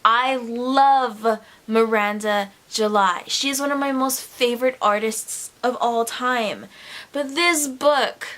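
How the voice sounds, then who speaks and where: A young adult woman talking straight to her camera in a rambling, expressive stream-of-consciousness vlog, with lots of "like". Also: a little high, slow pace. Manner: rambling and expressive, heavy use of "like", sudden laughs. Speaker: YouTuber.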